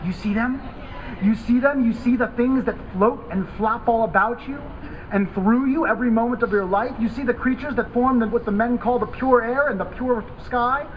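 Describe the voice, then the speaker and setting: A man's voice: very high-pitched, moderate pace, voice pushed up. A man voicing a crazed, vindictive scientist in a horror story reading, theatrical and menacing, ranting with taunting questions. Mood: manic, accusing. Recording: dull, noisy live event camera audio.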